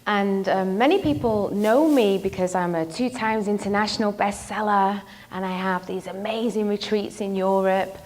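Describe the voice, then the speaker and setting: A woman's voice: medium pitch, fairly even intonation, moderate pace, hardly any pauses, a little mellow. A woman relationship coach giving a motivational talk to an audience, telling a personal story and asking the crowd questions. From not from the local area; lives in London.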